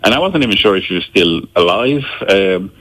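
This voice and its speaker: A man from El Salvador speaking English as a radio guest, talking haltingly with many restarts and fillers. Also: deep voice, lively intonation, a bit bright, low-quality recording. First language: Spanish. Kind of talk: radio interview.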